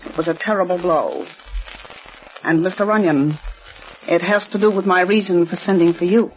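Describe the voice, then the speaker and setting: A woman's voice: lowish voice, moderate pace, natural voice. A proper, insistent heiress in her forties, played by a woman, speaks in formal, clipped 1940s radio style. The sound is dull and lo-fi, like an old broadcast. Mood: tense. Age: 40s. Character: tense, proper wealthy eldest daughter.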